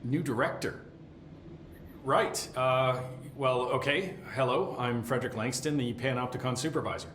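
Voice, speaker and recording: A man's voice: medium pitch, normal speed, fairly bright tone, natural voice. An actor playing a middle-aged, nervous and deferential facility supervisor in a video game, hesitant and rambling as he talks. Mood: flustered, dutiful. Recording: roomy echo, faint background noise.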